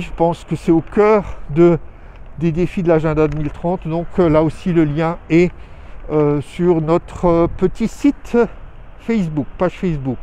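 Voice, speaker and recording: A man's voice: a little high, dark tone. A man hosting a weekly live video outdoors, talking casually in a rambling, hesitant way with many fillers, recorded on a handheld phone or camera. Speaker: host of weekly live show. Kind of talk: casual live vlog update. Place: outdoors in town streets.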